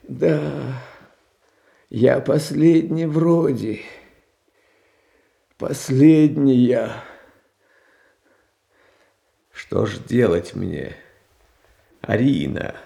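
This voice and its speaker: An actor playing a meek, anxious young tsar who talks in a hesitant, confused, pleading way in a theatrical radio play. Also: male voice, mid-range voice, expressive, extremely slow, vocal fry, pauses often, warm tone, heavily acted. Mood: despairing. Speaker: title character in a radio play.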